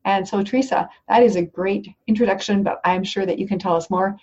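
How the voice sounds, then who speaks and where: Husky woman hosting a podcast. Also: medium pitch, fairly even intonation, a little mellow. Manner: measured, searching questions with fillers. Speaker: podcast host. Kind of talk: reading an intro, then casual interview questions. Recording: dull lo-fi recording.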